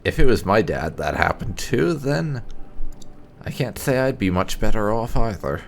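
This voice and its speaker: A man hosting a let's play, giving commentary in halting, drawn-out phrases. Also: fairly low pitch, animated, gravelly, slight background noise. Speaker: gaming channel host.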